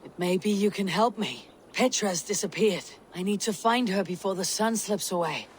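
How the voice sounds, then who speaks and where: Viking warrior woman, played by an actress, husky, stately, composed, video game drama, faint background noise. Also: medium pitch, close to an everyday voice. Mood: earnest. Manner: measured, stately. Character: calm, steady Viking warrior woman leading her clan.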